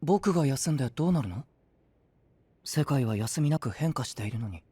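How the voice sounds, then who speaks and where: An actor playing a gentle young knight burdened by devotion, in an anime-style voice drama. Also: male voice, mid-range voice, lively intonation, normal speed, husky, no echo. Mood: brooding, quiet.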